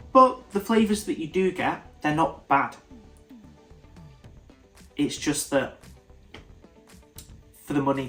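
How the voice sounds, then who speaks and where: A man's voice: fairly high pitch, fairly bright tone. A man with a congested, nasal voice, giving a casual whisky tasting review and often stopping to restart his sentences. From United Kingdom. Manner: thoughtful, stops and restarts mid-sentence.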